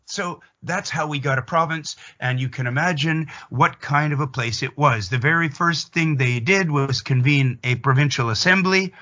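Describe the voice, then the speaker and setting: A Canadian man from Atlantic Canada with a Canadian accent gives an opinionated, emphatic explanatory monologue, telling it deliberately like a story in an interview. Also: fairly high pitch, sharp tone, lo-fi sound.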